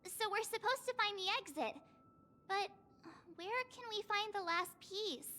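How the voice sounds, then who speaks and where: A woman's voice: very high voice, a little slow, bright, forceful tone, exaggerated voice. A woman playing a perky, puzzled, girlish young woman in a video game, with a chatty, questioning manner. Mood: curious. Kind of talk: video game story acting.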